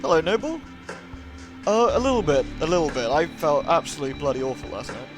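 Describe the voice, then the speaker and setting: A British man chats casually to his livestream audience in a fairly high voice that swings widely in pitch. He is friendly and informal, addressing viewers one by one. Music plays clearly behind him. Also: big pitch swings, quick pace, poor audio. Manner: chatty, friendly, informal with mild swearing. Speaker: live streamer, host. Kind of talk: casual livestream chat, greeting and answering viewers. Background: moody music.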